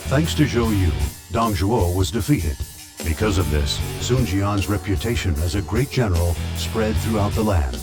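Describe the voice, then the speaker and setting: An actor narrating a historical war saga for a video game in a grave, formal storytelling manner. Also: male voice, on the low side, expressive, normal speed, lightly acted. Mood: solemn. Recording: loud music under the voice.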